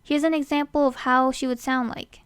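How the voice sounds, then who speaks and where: Girl reading out a casting call script, high clear voice, dry recording. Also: fairly even intonation, moderate pace, dry recording. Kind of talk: scripted narration.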